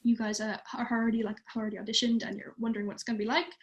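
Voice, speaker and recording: A woman's voice: a little high, level tone, rapid-fire, lo-fi sound. A teenage girl from Ireland, chatting casually on a livestream and using many fillers. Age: teens. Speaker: youth theatre participant.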